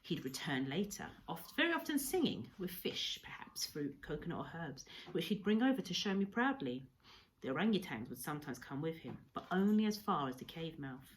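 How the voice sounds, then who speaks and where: Female story reader, low voice, measured and expressive teacher-style narration, noticeable background noise. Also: low-quality recording. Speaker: primary school teacher reading a story to pupils. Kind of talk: read-aloud storybook narration.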